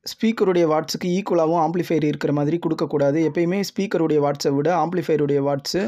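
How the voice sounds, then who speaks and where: Mellow, higher-pitched man explaining methodically. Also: fairly even intonation, fast, no echo, studio quality. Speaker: tutorial presenter. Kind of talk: scripted instructional narration. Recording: dull lo-fi audio.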